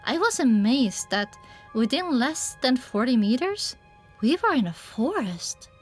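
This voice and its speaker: A woman's voice: high voice, very animated, measured pace, soft background music. A woman reading scripted first-person story narration with a descriptive storytelling delivery. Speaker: audiobook narrator.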